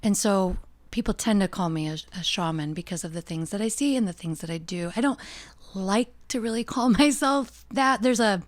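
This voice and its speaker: A woman's voice: mid-range voice, normal speed, hardly any pauses. A middle-aged American woman talking as a podcast guest, reflective and earnest, laughing easily. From United States. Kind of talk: casual interview talk.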